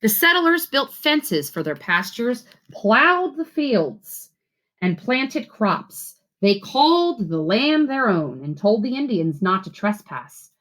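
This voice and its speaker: A woman reading a children's picture book aloud like a teacher, with clear phrasing and expressive, instructive delivery. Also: a little high, takes it slowly, low-quality recording. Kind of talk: storybook read-aloud for children.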